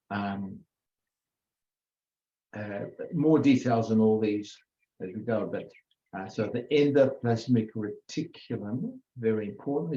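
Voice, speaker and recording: An older man giving an informal biology lecture, halting with many fillers and self-corrections. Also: mid-range voice, dark tone. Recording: echoey room, dull lo-fi sound.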